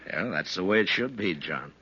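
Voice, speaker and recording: A deep, expressive male voice as a modest frontier marshal in a vintage radio western. He talks in a plain, easygoing, hesitant way, on a dull old recording with music. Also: normal speed, breathy edge, full character voice. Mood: friendly. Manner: conversational, hesitant, plain-spoken. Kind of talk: 1950s radio western acting and narration.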